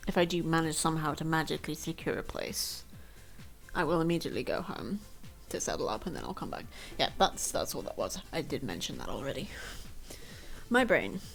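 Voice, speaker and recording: A woman's voice: low voice, animated, a little fast, gravelly, fairly bright tone. A young woman streaming from home, chatting casually and rambling in a self-deprecating way. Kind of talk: casual chat to stream viewers. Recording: faint music behind.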